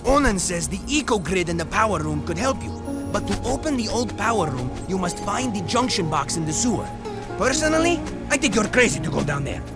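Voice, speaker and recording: A man's voice: high-pitched, sing-song, fairly quick, over loud music. A man voicing a small, fussy, chatty creature who interprets bluntly in a cartoon video game. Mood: wary advising.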